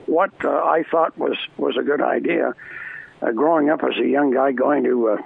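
A man's voice: medium pitch, steady tone, normal speed, gravelly, breathy edge. An older man from Canada, a former arena announcer, chatting as an interview guest, rambling with many restarts and fillers. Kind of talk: casual interview chat. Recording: tinny phone line.

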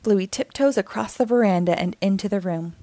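A woman reading a children's story aloud in an expressive, deliberate narrating style. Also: medium pitch, no room sound. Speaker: storybook reader.

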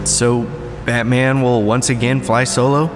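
A man's voice: medium pitch, level tone, a little slow, close to an everyday voice. An actor playing a restless, disillusioned young male college student in an audio drama, sardonic yet earnest. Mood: hurt, defiant. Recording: long reverb, faint music behind.

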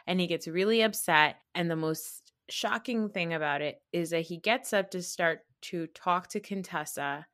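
A woman's voice: lowish voice, moderate pace, hardly any pauses, a bit bright. A woman co-hosting a podcast, recapping reality TV in a blunt, casual way and laughing often. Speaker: podcast co-host.

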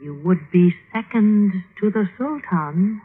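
A woman playing a ruthless, scheming mother in a classic radio drama, speaking with deliberate, commanding insinuation. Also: mid-range voice, animated, husky, phone-quality sound, soft background music. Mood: insinuating, commanding. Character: ruthless, scheming mother goading her son to kill. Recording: band-limited old radio recording.

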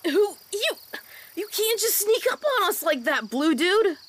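A woman's voice: very high voice, very animated, measured pace, exaggerated voice. A woman voicing a small, earnest, easily offended plant creature in a fantasy comedy audio drama. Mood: startled. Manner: defensive, earnest.

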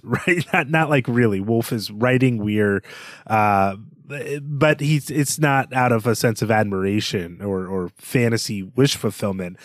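A man's voice: medium pitch, dry recording, studio quality. A man co-hosting a podcast, discussing books in a conversational, analytical way and chuckling as he talks. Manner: analytical, chuckles while talking. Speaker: podcast co-host.

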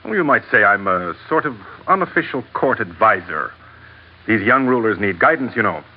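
A man's voice: fairly low pitch, very animated, normal speed, breathy edge. A man playing a smug villainous court advisor in old radio drama, mock-polite and wordy. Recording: dull old radio recording.

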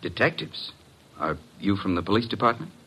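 Casting: guarded physician, fairly low voice, curt and professional, classic radio drama. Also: male voice, big pitch swings, brisk, fairly bright tone, husky, exaggerated voice. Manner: clipped, defensive, formal. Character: guarded, formal physician being questioned.

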